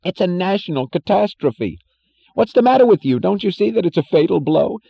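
Guy putting on a shrill freaked-out exec, whiny and frantic, voice way up high, kinda muffled audio. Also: lively intonation, fairly quick, vocal fry, breathy edge, exaggerated voice. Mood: pleading. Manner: shrill, frantic, pleading outbursts. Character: panicked, whining middle-aged male executive.

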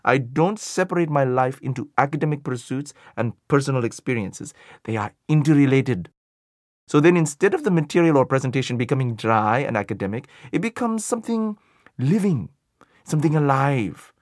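A man's voice: medium pitch, expressive, normal speed, a little mellow, no room sound, studio quality, natural voice. A man voicing an older male Buddhist teacher in an audiobook dialogue, earnest and questioning, thinking aloud as he searches for meaning. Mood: reflective. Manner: questioning, searching, thoughtful delivery.

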